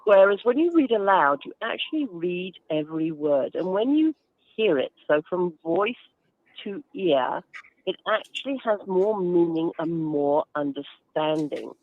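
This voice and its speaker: A woman's voice: fairly low pitch, lively intonation, a little slow, narrow, tinny audio. A woman giving explanatory interview answers as a guest, instructive and emphatic, with everyday examples. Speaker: guest author and reading advocate.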